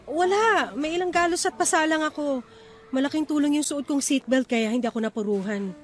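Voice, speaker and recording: An actress playing a caring, steady wife and mother in a Filipino radio drama, reassuring and reasoning. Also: sits high, brisk, close to an everyday voice. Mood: concerned.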